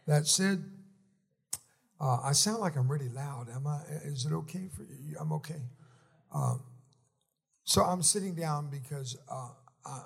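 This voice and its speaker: A man in his seventies giving a folksy, joking testimony sermon while seated in a church, often halting and restarting. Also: medium pitch, vocal fry, breathy edge. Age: 70s. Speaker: guest speaker at a church.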